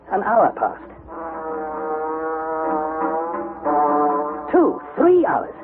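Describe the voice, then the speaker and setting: An actor playing an earnest young adventurer, narrating perils theatrically in a vintage radio drama. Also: male voice, very high-pitched. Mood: suspenseful. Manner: theatrical, suspenseful. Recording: narrow-band vintage radio broadcast, music behind.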